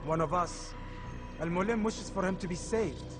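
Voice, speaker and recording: A man plays a stern assassin superior in a video game. His voice is high for a man, and he lectures with open condescension. Music is clearly audible underneath. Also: normal speed, natural voice. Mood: stern, lecturing. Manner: lecturing, disdainful. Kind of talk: video game dramatic acting.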